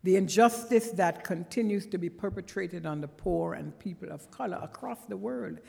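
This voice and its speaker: Trinidadian lady preaching in church, kinda gravelly, big echoey sanctuary. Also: fairly low pitch, normal speed, warm tone. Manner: earnest, conversational, with rising emphatic bursts. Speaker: guest preacher. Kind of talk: sermon. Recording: long church reverb.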